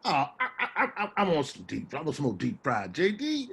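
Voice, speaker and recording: Man playing a husky, stammering American street hustler. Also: a little high, huge pitch swings, fairly quick, a bit bright, exaggerated voice. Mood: pleading, indignant. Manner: stammering, repeats words, folksy street slang. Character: rambling, wheedling homeless street man, loyal and streetwise. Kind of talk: dramatic table reading of a stage play.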